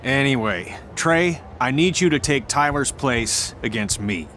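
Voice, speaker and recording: A man's voice: medium pitch, expressive, measured pace, a bit bright, slight background noise. A man playing a self-assured veteran wrestler and blunt mentor in a video game cutscene, with a dry delivery. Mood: dry, commanding.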